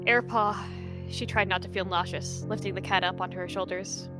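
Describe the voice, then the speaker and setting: A woman narrating a dramatic roleplay story in an expressive, measured storytelling manner. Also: medium pitch, loud music behind the voice.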